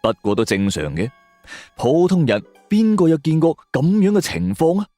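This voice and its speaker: A man narrates a dark suspense tale with widely swinging intonation. His delivery is theatrical and deliberate, recorded dry over background music. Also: on the high side, very animated, no echo. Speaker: storyteller narrating audio drama. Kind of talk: dramatic suspense story narration in the first person.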